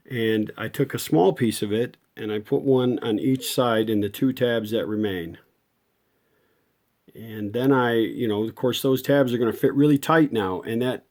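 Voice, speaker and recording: Mellow-voiced man explaining a repair. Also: medium pitch. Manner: folksy, explains step by step. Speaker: radio restorer giving a repair video. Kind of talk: unscripted hands-on repair demonstration.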